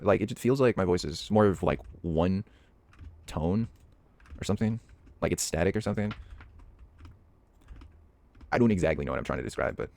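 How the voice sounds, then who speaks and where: A man's voice: low-pitched, gravelly, a little mellow, no echo. A young man chatting casually while playing a game, rambling and hesitant with lots of fillers and restarts. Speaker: hobby voice actor and gaming vlogger. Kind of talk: casual rambling commentary over gameplay.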